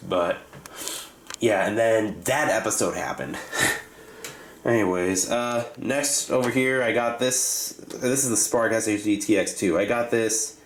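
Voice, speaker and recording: Male hobby vlogger, lowish pitch, casual and rambling, self-correcting, recorded in a reverberant home room. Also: a bit bright. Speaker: hobbyist video host reviewing his radio collection. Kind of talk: unscripted casual show-and-tell commentary. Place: indoors in rooms at home. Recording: echoey room with faint background hiss.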